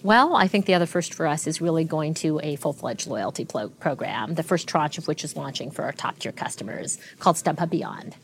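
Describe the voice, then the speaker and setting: Lower-voiced woman, animated storyteller. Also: brisk, few pauses. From Canada. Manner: fluent, animated storytelling with frequent restarts. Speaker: tech executive guest. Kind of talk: interview conversation.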